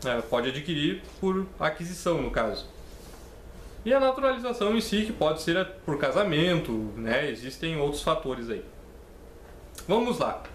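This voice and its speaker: A man's voice: a little high, big pitch swings, normal speed. A young Brazilian man in his twenties with a Brazilian Portuguese accent, speaking in a slightly raised register. He explains legal rules conversationally and reads them out while often checking in with his listeners. The recording is roomy and echoey, with a faint hum underneath. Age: 20s. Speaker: channel host explaining citizenship law. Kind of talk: casual explainer reading legal text. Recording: echoey room with faint ambient noise.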